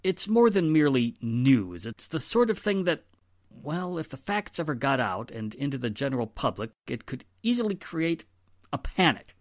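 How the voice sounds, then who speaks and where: A man's voice: mid-range voice, big pitch swings, moderate pace, no room sound, studio quality. A man playing an exasperated, firm police inspector, emphatic and stern, in 1940s radio drama style. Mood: grave, confiding.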